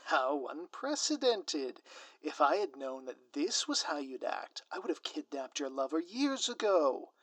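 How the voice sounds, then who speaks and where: Hammy villain monologue, slow n savoring, kinda high bright voice, gloating and florid, dry close sound. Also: male voice, sing-song, dry recording, exaggerated voice, low-quality recording. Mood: smug, showy. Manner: pompous, florid, taunting. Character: theatrical, gleefully scheming male supervillain, hammy and grandiose. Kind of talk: melodramatic villain monologue in audio roleplay.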